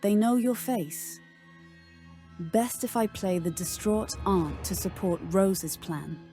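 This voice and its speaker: A woman playing a wary occultist investigator in an audio drama, with a measured, questioning manner. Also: mid-range voice, mellow, soft background music, natural voice. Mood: skeptical, measured.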